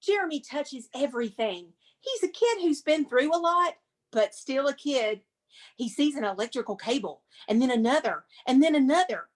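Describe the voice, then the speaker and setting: A woman's voice: high-pitched, expressive, normal speed. A woman presenting as a museum curator and reading a script, with theatrical, emphatic, dramatic narration.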